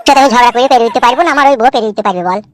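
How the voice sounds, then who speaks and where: A man's voice: very high voice, rapid-fire. A man playing a put-upon, rueful village husband in a comic cartoon, plaintive and coaxing. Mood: placating, resigned.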